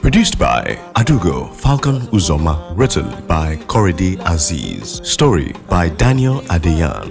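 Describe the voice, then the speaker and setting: A man with a deep, creaky voice announces credits from a script. His delivery is animated, and music plays clearly behind him.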